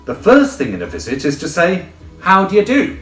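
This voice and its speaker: Fat jolly storybook twin, acted by a man, high pitch, bossy, exclaiming, very lively swings. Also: moderate pace, echoey room, faint music behind. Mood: eager, bossy. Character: jolly, fat twin man-child, eager and self-important.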